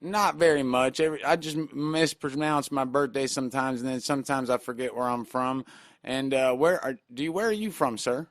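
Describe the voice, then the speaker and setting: A man's voice: mid-range voice, a bit bright, no room sound, clean studio sound, lightly acted. A radio host playing a forgetful older army veteran who stumbles and halts over his own age and birthday on a prank call. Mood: muddled. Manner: halting, confused, stumbling over numbers.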